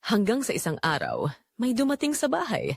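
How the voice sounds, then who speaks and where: Woman acting a lonely Filipina newlywed, lively pitch. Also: moderate pace, creaky, husky, no room sound, studio quality, heavily acted. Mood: wistful, lonely. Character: lonely young newlywed wife confessing her secret. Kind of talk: scripted first-person audio drama narration.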